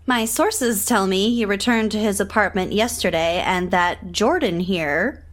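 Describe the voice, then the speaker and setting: A woman's voice: medium pitch, unhurried, lightly acted. A woman acting in an audio drama as a smug, catty council politician with pointed, insincere delivery. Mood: smug, accusing. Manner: sugary insincerity with pointed digs. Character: smug, fake-sincere, catty female city council politician.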